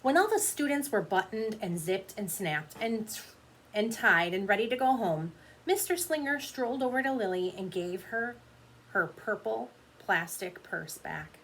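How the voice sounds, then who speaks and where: A woman's voice: medium pitch, expressive, unhurried, a bit bright, a little hiss or hum, poor audio. A woman teacher reading a picture book aloud to children, expressively, with explanatory asides to her young listeners.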